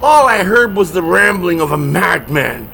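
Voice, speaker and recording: An actor playing an exasperated, sarcastic, long-suffering sidekick in a comedy horror audio drama. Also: male voice, sits high, very animated, normal speed, vocal fry, bright, forceful tone, a little hiss or hum, exaggerated voice. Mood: incredulous. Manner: sarcastic, whiny.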